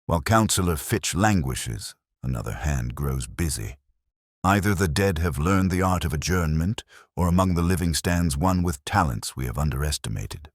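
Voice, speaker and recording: A man voicing a mild, shrewd police inspector in a detective story, speaking gently, deliberately and formally. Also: extremely low pitch, animated, no echo, studio quality. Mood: cryptic, composed.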